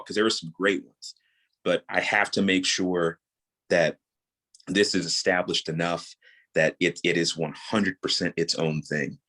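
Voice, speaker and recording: A man in his thirties with a notably low voice talks as a guest on an interview show. He explains things earnestly, in a natural conversational flow. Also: fairly bright tone. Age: 30s. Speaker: interview guest, audio drama creator and voice actor.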